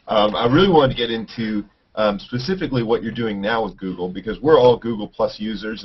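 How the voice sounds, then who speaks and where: A male host asks casual, rambling questions in a video call interview. His voice is husky and rather low. The sound is dull and lo-fi. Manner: casual, filler-laden questions.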